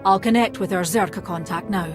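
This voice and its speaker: A woman's voice: mid-range voice, brisk, lightly acted. Woman acting a wry military science officer over music. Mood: formal. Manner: formal yet wry and needling. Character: teasing, self-assured female imperial military research officer. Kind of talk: video game cutscene acting. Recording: music behind the voice.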